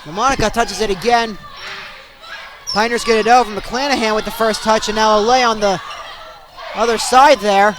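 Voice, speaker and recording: Young adult male sportscaster, very high-pitched, excitable, clipped delivery for live game commentary. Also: moderate pace, long pauses, noisy background. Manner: excitable, punchy delivery in short clipped phrases. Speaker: play-by-play sportscaster. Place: at sports venues, live during games.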